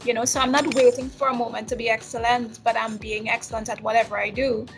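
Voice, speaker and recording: A woman's voice: on the high side, flat delivery, fast, soft background music. A woman hosting a casual interview, encouraging her guest and often restarting her questions.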